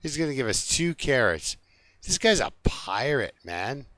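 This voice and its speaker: A man's voice: mid-range voice, very animated, unhurried, pushed and bright, no echo. A man live streaming a video game, chatting casually and jokingly to his viewers in an easygoing, rambling way.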